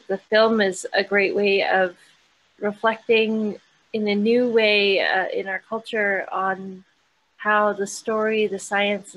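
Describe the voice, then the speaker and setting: A woman's voice: mid-range voice, fairly bright tone, low-quality recording. A woman, an author and activist, speaking reflectively and articulately on an online panel over a video call. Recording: faint background noise.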